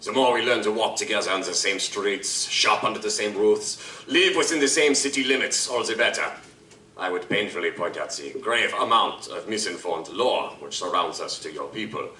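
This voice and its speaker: Man playing a courtly old-world vampire, bright and formal. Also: lowish voice, animated, moderate pace, slight background noise. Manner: formal, elaborate, courtly diction. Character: suave courtly male vampire liaison. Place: live stage performance at a convention. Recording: roomy live stage from a distance.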